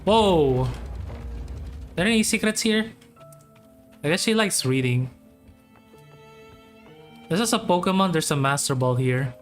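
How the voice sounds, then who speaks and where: Slow-paced casual game streamer, mid-pitched. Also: lively intonation. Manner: informal, reacting in short musing remarks. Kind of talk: casual gameplay commentary. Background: faint game music.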